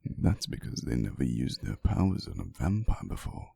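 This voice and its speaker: Guy doing an ancient vampire boyfriend for asmr, gravelly creaky low voice, soothing n teasing, lots of dramatic pauses. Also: very animated, warm tone, dry recording, clean studio sound, heavily acted. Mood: storytelling, amused. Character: ancient vampire boyfriend, tender, wistful, teasingly fond of humans. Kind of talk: ASMR roleplay, intimate storytelling to the listener.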